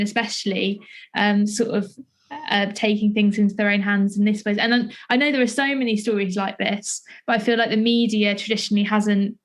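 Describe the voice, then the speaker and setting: Woman doing a podcast interview, middling pitch, kinda tentative and hedgy, casual chat. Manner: tentative, heavily hedged. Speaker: interviewer, theatre maker.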